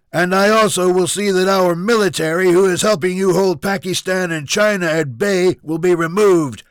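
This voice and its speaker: A man's voice: high voice, fairly bright tone, dry recording, voice pushed up. A man voicing a pompous, hot-tempered son of a president, bellowing his demands in an audiobook story.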